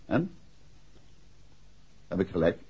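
A shrewd gentleman detective, with a very husky voice that rises and falls widely. He is deliberate and insistent, in an old radio play with muffled sound. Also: low voice, sing-song, full character voice. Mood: probing, insistent. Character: shrewd, assured gentleman detective pressing his point. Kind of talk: 1960s Dutch radio play acting. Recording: dull, muffled vintage radio recording.

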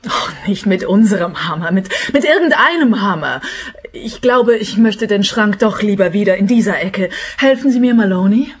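Kühle, belegte Ehefrauenstimme. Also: female voice, medium pitch, expressive, moderate pace, slightly rough. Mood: evasive. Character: evasive, coolly composed wife. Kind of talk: radio play dialogue.

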